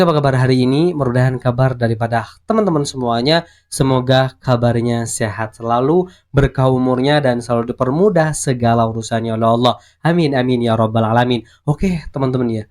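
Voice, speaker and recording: A man hosting a reaction video, chatty and quick with exclamations. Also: mid-range voice, darkish tone. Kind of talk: casual reaction commentary.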